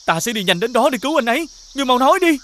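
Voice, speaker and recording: An actor voicing a kind, naive young prince in an animated fairy tale, earnest and innocent. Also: sits high, racing, a little hiss or hum. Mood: worried, urgent.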